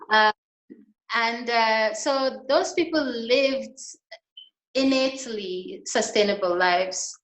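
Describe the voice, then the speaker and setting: A middle-aged woman from Guyana, a fashion designer and interview guest, talking slowly and thoughtfully with many pauses and ums. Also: fairly high pitch, a bit bright. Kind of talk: online video interview. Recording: echoey room over video call.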